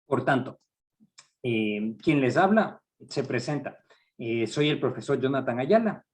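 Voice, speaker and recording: A middle-aged man with an Ecuadorian accent leading a university writing seminar, speaking in a measured, hesitant way with frequent fillers. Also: medium pitch, expressive, darkish tone. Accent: Ecuadorian Spanish. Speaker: university research director giving a workshop. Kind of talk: academic training seminar.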